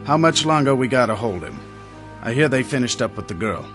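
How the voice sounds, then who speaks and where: A man's voice: medium pitch, lively intonation, brisk, fairly bright tone, lightly acted. An actor playing a casual, mocking henchman with an offhand, colloquial way of talking, in a radio drama. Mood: offhand, grumbling. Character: casual, mocking male henchman guarding a captive. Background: music.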